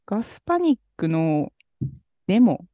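A man co-hosting a podcast, telling a story in a relaxed, rambling way with many fillers. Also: sits high, a little slow, no room sound, dull audio. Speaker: podcast co-host. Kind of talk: casual chat about music.

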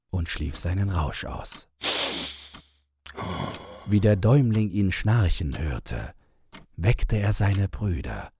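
A man narrating a fairy tale from a script in a measured, deliberate storytelling manner. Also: low voice, dark tone, slight background noise.

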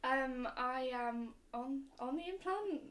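Slightly high-pitched young woman. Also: expressive, slow pace, fairly bright tone. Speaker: podcast guest. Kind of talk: casual chat.

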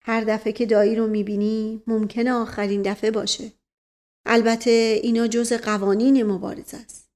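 A woman's voice: on the high side, a little mellow, natural voice. Woman acting a grave, imperious older uncle. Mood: grave, secretive.

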